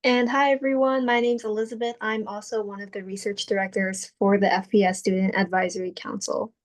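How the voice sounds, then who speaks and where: A woman's voice: mid-range voice, flat delivery, a little fast. A young woman co-moderates an online panel. She speaks in an upbeat way and follows a script. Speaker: student moderator.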